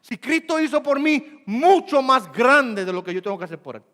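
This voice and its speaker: A male pastor preaching a sermon, rising loud and slow on key points and dropping to quick, quiet asides. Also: very high-pitched, sing-song, long reverb tail. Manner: swells loud and slow for emphasis, then drops to quick murmurs. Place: church sanctuary.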